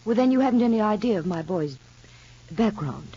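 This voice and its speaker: Worried young mother, husky voice, measured and hesitant, vintage radio drama, muffled recording. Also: mid-range voice, expressive, warm tone. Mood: guarded. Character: anxious young mother confiding in child psychologist. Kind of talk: 1950s radio soap opera acting.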